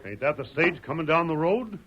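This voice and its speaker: An actor playing a plain-spoken American frontier marshal with folksy authority in an old-time radio western. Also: male voice, on the high side, animated, a little fast, lightly acted. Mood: steady, questioning. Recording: muffled vintage radio with crowd noise.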